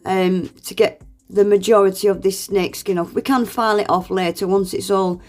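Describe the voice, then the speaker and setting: A woman's voice: medium pitch, steady tone, normal speed, a little mellow. A woman, congested with a cold, chatting through a nail art tutorial voice-over in a friendly, self-deprecating way and laughing often.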